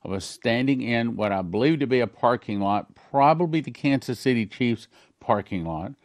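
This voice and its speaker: A man's voice: medium pitch, animated, no room sound, studio quality. An older man, a pastor, earnestly recounting dreams in a sermon. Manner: earnest storytelling, reads letters aloud quickly.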